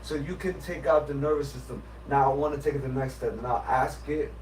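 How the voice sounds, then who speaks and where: A man's voice: mid-range voice, fairly even intonation, fairly quick, a little mellow, far from the mic. A man in casual podcast chat, explaining his AI system in an emphatic, insistent way, often restarting his sentences. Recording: roomy, off-mic, faint background noise.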